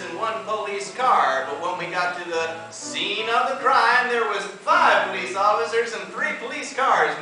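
A young man telling a long comic story in a deadpan drawl while strumming an acoustic guitar on a theater stage. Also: high voice. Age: young adult. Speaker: student performer. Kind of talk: talking-blues storytelling over guitar. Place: theater stage, live audience. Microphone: far camera. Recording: echoey theater from far off.

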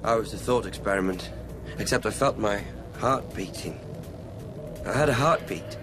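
A man acting an earnest young amateur philosopher in a radio play. His voice sits on the low side and he speaks plainly and musingly, like an innocent thinker, with music clearly behind him. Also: normal speed, lightly acted. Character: earnest young male thought-experiment figure, naive amateur philosopher.

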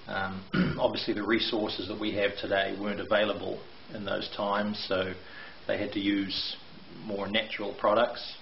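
A man's voice: fairly low pitch, little pitch movement, moderate pace, vocal fry, hardly any pauses. Middle-aged man touring an echoey basement. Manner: explanatory, hesitant with fillers. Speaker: renovation contractor. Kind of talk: walkthrough video tour. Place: bare brick basement, indoors. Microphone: handheld camera mic. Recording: echoey, dull lo-fi with faint hiss.

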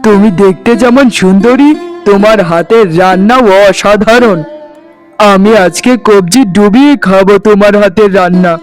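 A man's voice: sits high, moderate pace, lightly acted. A man voicing a doting young village husband and dutiful son in a cartoon drama, earnest and coaxing. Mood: delighted. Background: plucked-string music.

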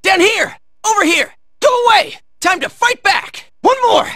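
An American man playing a cocky, excitable teenage boy in a video game, barking out brash, high-strung battle lines. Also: a little slow, no room sound, studio quality, exaggerated voice. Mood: fired-up, cocky.

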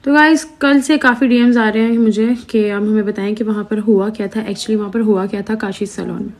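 A woman's voice: medium pitch, steady tone, brisk. A young woman, talking earnestly and indignantly to her followers into a phone held at arm's length indoors. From Pakistan. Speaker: social media creator. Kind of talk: selfie video complaint to followers.

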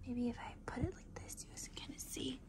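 A young woman losing her voice, whispering raspily in a casual, rambling ASMR desk tour, with lots of fillers. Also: a little fast. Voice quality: raspy. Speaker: ASMR creator.

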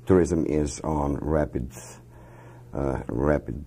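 Very deep, gravelly man in a studio. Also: dark tone. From Croatia. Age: 50s. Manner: halting, many fillers, measured explanations. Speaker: economic minister, interview guest. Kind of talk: studio interview answers. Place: dark television studio. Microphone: lapel mic.

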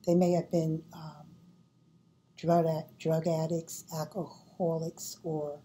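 An older woman preaching from home into a close webcam, with a very dark, mellow voice. She talks slowly and deliberately, pausing often to gather her thoughts. A faint hiss sits under her voice. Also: low voice, flat delivery. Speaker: church speaker. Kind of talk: sermon. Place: home room, indoors. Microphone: webcam at close range.

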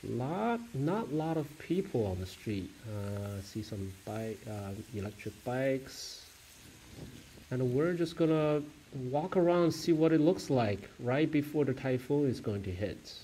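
A man narrating a casual travel vlog as he walks through streets, a mall and a subway station, halting with many fillers and self-corrections. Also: medium pitch, big pitch swings, warm tone, slight background noise. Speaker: travel vlogger.

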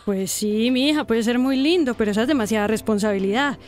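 Actress doing a nosy colombian teen girl, high voice, chatty best friend in a radio drama. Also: fast, a little mellow, soft background music, lightly acted. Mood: concerned. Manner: chatty, inquisitive. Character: curious, practical teenage schoolgirl best friend. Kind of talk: radio soap opera acting.

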